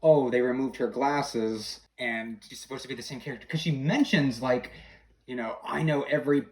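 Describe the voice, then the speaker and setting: A man's voice: mid-range voice, moderate pace, rough recording. A middle-aged man as a podcast guest, chatting casually and explaining trivia in an informative way. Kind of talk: casual chat between film fans.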